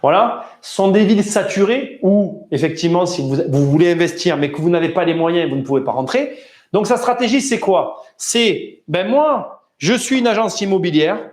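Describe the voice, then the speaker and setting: A fairly high-voiced man from southern France hosts a podcast in a roomy, echoing space. He asks polite, probing questions and then breaks down what he heard for his listeners in a lively way. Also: moderate pace. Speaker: podcast host. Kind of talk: phone interview, then commentary to listeners.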